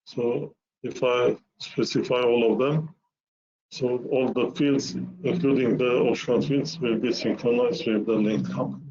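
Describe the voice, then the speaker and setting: A man narrating a software demo webinar, explaining step by step in a halting way with repeated words. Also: medium pitch, fairly even intonation. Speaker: software presenter. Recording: muffled lo-fi webinar audio.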